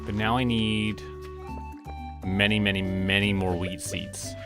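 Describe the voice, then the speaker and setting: A man's voice: on the low side, fairly even intonation, unhurried, music in the background. A man chatting casually to himself as he plays a game on stream. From Canada. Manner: talks to himself while playing. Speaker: streamer playing a farming game.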